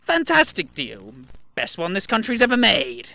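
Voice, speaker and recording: An actor playing a smug, sneering captive in an audio drama. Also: male voice, high-pitched, wildly swooping pitch, moderate pace, creaky, bright, forceful tone, no echo, exaggerated voice. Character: smug captured male traitor, sneering.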